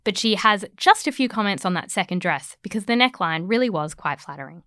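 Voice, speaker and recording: Bright-voiced woman narrating wryly. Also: medium pitch, a little fast, slight background noise. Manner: wry, conversational, expressive storytelling. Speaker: writer reading own article. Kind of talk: scripted personal essay narration.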